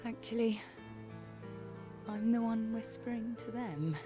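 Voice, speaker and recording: A woman voicing a quiet, wistful young woman in a video game cutscene, soft and reflective as she confides. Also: on the high side, a little slow, close to an everyday voice. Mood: gentle, curious. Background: music.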